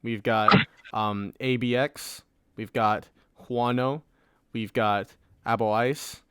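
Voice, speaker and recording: A man's voice: medium pitch, steady tone, slow pace, bright, forceful tone, smooth, no room sound. A man co-hosting a podcast, talking in a casual group discussion. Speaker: podcast co-host.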